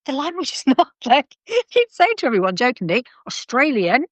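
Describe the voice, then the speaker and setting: A middle-aged woman, hosting a podcast interview in a chatty, animated way, often restarting her sentences. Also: high voice, fairly quick, dry recording. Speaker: podcast host. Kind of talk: casual conversational interview.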